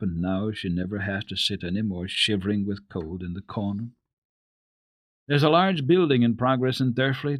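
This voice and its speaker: A man reading classic children's literature aloud as a dramatic radio storyteller, with a deliberate, expressive delivery. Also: lowish voice, slightly rough, no echo.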